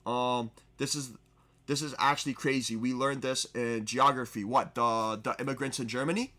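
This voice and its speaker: A man's voice: mid-range voice, fairly even intonation, normal speed, pushed and bright. A man streaming, talking casually as he reacts to a video, rambling and often restarting his sentences.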